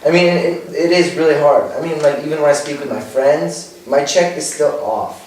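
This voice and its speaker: A man's voice: a little high, moderate pace, a little mellow. A young man from California being interviewed, chatting casually with many fillers and slipping in a few foreign words. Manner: chatty, many fillers like "like" and "you know", drops Czech words. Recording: echoey room, voice far from mic, faint noise.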